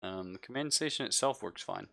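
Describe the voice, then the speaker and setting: A man narrating a live tabletop roleplaying game as game master, in a halting, conversational way. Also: sits low, expressive, vocal fry, a bit bright.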